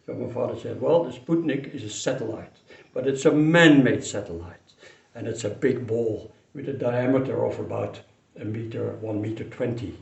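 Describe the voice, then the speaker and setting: An older man who grew up in Indonesia, fondly telling a childhood memory in an unhurried way, with pauses and restarts. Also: mid-range voice, gravelly, darkish tone, highs cut off. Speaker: storyteller. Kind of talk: personal memory told to camera. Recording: roomy echo, mic some distance away.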